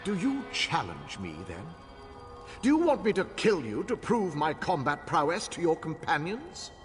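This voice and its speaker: A man playing an ancient elf war leader in a video game, imperious and proud, speaking in a formal, commanding, deliberate way. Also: a little high, wildly swooping pitch. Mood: challenging. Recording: long hall reverb, music behind.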